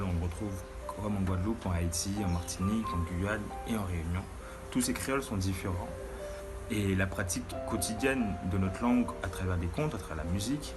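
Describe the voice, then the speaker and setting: A man whose mother tongue is Creole speaks quickly in a very deep voice, explaining with assurance. Loud music plays behind him. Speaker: interviewee.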